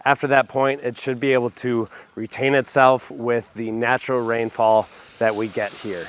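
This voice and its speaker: A young man hosting a homestead vlog, hoarse from a cold, explaining his backyard planting step by step with enthusiasm. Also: medium pitch, little pitch movement, normal speed. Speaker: homestead channel host. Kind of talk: casual gardening vlog. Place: backyard, outdoors.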